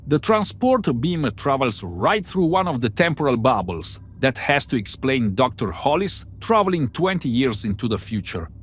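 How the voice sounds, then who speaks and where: A man's voice: mid-range voice, big pitch swings, moderate pace, few pauses, husky. A man playing an analytical starship crew member in an amateur sci-fi drama, explaining things precisely and methodically. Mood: eager, reasoning.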